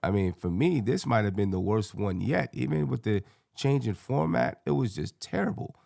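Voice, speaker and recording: A man with a hoarse, scratchy voice hosting a casual sports fan panel in slangy, colloquial talk. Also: fairly low pitch, expressive, moderate pace, darkish tone, no room sound, clean studio sound.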